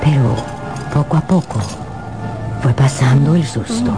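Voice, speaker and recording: A woman playing the solemn storyteller of a gothic radio drama, narrating in a deliberate, suspenseful way. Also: sits low, lively intonation. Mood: suspenseful narration. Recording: music under the narration. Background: music.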